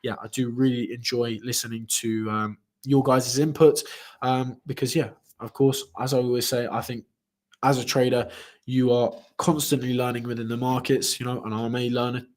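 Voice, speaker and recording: A man's voice: medium pitch, flat delivery, normal speed, darkish tone. Rambling man hosting a trading stream. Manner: rambling, many fillers and repeated restarts; speaks to chat viewers directly. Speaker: crypto trading livestream host. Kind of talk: casual live stream commentary.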